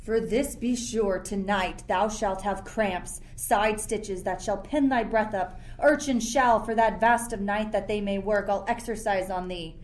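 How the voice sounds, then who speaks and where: A woman's voice: mid-range voice, unhurried, few pauses, lightly acted. A young woman playing an imperious sorcerer who rules an island, in theatrical Shakespearean verse for a radio play. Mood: furious, contemptuous. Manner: commanding, theatrical. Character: Prospero, imperious, stern sorcerer ruling an island.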